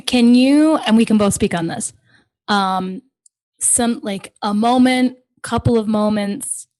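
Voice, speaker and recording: A woman's voice: fairly high pitch, darkish tone. A woman in her thirties co-hosting a podcast, listening closely and putting questions to her partner. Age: 30s. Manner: attentive listener, quick affirmations. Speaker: podcast co-host. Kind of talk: casual chat.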